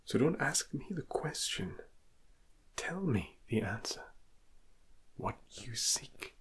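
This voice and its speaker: A man playing a cryptic, solemn tarot card come to life, speaking softly in measured phrases with some whispering in an ASMR roleplay. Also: medium pitch, big pitch swings, husky, exaggerated voice. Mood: cryptic, calm. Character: solemn mystical tarot card figure, a cryptic male guide. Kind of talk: ASMR roleplay, slow soft-spoken with whispers.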